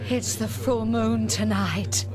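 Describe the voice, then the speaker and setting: A woman's voice: on the high side, slow pace. An actress playing an anxious, scolding British woman in a radio drama. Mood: fearful urgency. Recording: loud music behind the voice.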